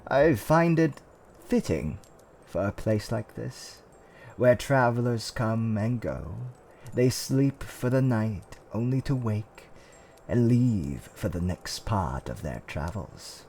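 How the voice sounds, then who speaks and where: A man playing a gentle, courteous tavern keeper in a fantasy roleplay, with soothing, old-fashioned politeness and theatrical hums and pauses. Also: lowish voice, very animated, slight background noise, exaggerated voice. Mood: welcoming, musing. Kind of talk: roleplay ASMR character acting.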